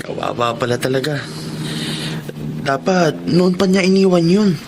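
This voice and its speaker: An actor playing a deferential, sympathetic assistant to a lawyer in a Tagalog radio drama. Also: male voice, a little high, sing-song, a little slow. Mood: concerned, curious. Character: deferential, sympathetic male companion of an attorney. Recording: loud car noise behind.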